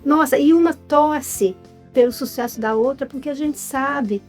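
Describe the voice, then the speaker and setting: A Brazilian woman speaks in a higher voice with a Brazilian Portuguese accent, talking about her work as an interview guest. She talks with feeling and leans on her key words. Soft music plays faintly behind her. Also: measured pace. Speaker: interview guest, writer and filmmaker. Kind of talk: casual interview chat.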